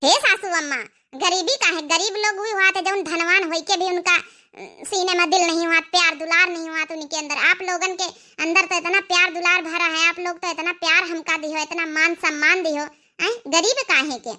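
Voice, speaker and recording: A woman's voice: very high voice, steady tone, normal speed, pushed and bright, heavily acted. A woman voicing a devoted young married sister in a cartoon family drama, earnest and emphatic, with an Awadhi accent. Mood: reassuring.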